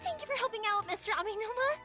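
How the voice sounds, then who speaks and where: A woman voicing a cheerful, chatty, excitable young fireworks maker in an anime-style video game. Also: very high-pitched, quick pace. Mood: cheerful, impressed. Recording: music behind the voice.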